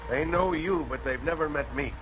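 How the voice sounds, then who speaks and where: An actor playing a folksy, blustery middle-aged police sergeant in an old radio drama. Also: male voice, a little high, normal speed, natural voice. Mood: blustery, resolute. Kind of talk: 1940s radio acting. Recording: noisy muffled old radio recording.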